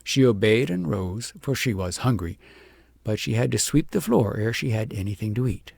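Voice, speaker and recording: A man reading a children's story aloud as a scripted, expressive audiobook narrator. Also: fairly low pitch, normal speed, creaky, slightly rough, dry recording.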